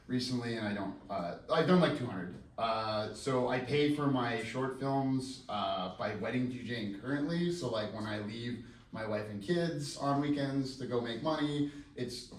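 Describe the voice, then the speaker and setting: A man giving a casual, rambling introduction as a scriptwriter, with a self-deprecating, joking manner. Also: on the low side. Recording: echoey room, distant mic, faint noise.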